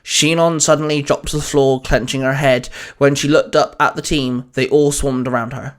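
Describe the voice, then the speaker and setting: A man's voice: medium pitch, steady tone, normal speed, smooth. A man narrating an audio fan fiction story, reading as the narrator.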